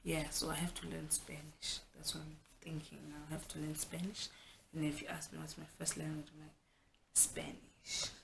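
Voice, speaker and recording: A woman's voice: low voice, monotone, normal speed, creaky, noisy background. A young South African woman whose first language is Xhosa, talking frankly and chattily to the camera in a casual home vlog, often restarting her sentences. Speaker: vlogger.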